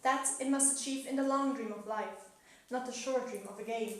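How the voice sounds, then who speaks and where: A woman playing a wise, knowing cosmic spirit in a calm, solemn dramatic reading of a poetic dialogue. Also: a little high, fairly quick, natural voice. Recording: echoey room, distant mic, faint noise.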